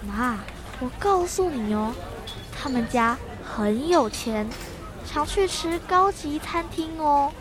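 A woman's voice: sits high, expressive, slow. An actress playing a cheerful schoolgirl who helps at her family's food stall in a children's radio drama. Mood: explaining.

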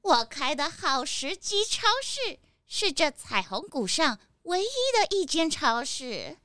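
A woman's voice: sits high, big pitch swings, slow pace, fairly bright tone, dry recording, studio quality, full character voice. A woman voicing a fretful, fussy old hen shopkeeper with a Taiwanese Mandarin accent in a children's audio drama. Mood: worried. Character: fretful old mother hen running a supermarket.

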